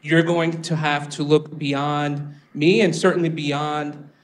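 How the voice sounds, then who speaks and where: Measured man, slightly high voice. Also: flat delivery. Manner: measured, reflective storytelling. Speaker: panelist, venture capitalist. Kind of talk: panel discussion answer. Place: event space with audience.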